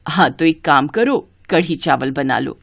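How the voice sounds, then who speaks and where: Woman playing a low-voiced teasing Indian mother. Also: animated, measured pace, gravelly, a bit bright, no echo, clean studio sound, full character voice. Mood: coaxing. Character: middle-aged mother and mother-in-law, teasing, fond, gently scolding.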